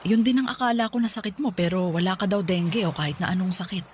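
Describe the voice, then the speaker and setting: A woman's voice: lowish voice, quick pace, natural voice. A woman playing a worried middle-aged mother asking questions in a Tagalog radio drama. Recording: some noise behind the voice.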